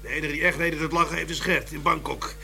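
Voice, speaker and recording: Casting: a grumbly detective, bluff and colloquial, radio drama, sharp tone, some hum. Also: male voice, a little high, steady tone, fast talker, heavily acted. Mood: grumbling. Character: grumbling, bluff male police detective leading colleagues. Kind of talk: Dutch radio drama acting. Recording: clear background noise and hum.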